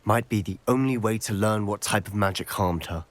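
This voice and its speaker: A man's voice: lowish voice, moderate pace, dry recording, natural voice. A young man with a British accent playing an earnest, stubborn teenage wizard in a video game cutscene, intense and wry. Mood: hopeful, pensive.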